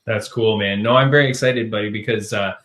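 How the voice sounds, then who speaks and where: A man's voice: on the low side, fairly even intonation, a little fast, a bit bright. A man from Canada hosting a podcast interview, upbeat and chummy, calling his guest "man" and "buddy". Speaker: podcast host. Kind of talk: casual interview.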